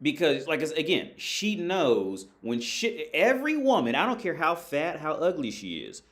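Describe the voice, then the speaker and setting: Animated, profane American livestream host. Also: male voice, fairly high pitch, normal speed. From United States. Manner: loose, profane, laughing, animated. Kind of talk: casual livestream talk.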